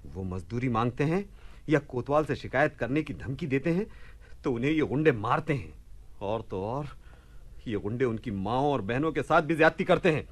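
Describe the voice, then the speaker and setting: An actor playing a hospitable, outspoken son with a courteous, animated manner in a radio play. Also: male voice, medium pitch, normal speed, close to an everyday voice. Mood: indignant. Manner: courteous, animated, indignant about injustice. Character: hospitable, outspoken son of a widow, Abul Hasan. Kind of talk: Hindi radio play acting.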